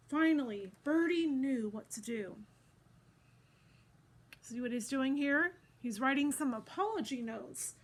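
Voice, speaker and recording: A woman with a high voice reads a children's picture book aloud. She is expressive and unhurried, speaking directly to young listeners as an interactive storyteller. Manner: expressive, addresses listeners with questions.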